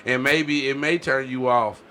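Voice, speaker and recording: A man's voice: medium pitch, steady tone, a little slow, bright, forceful tone, a little hiss or hum. A man hosting a casual group chat in a slangy way, often checking in with his listeners. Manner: slangy, peppers talk with check-in phrases. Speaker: podcast host.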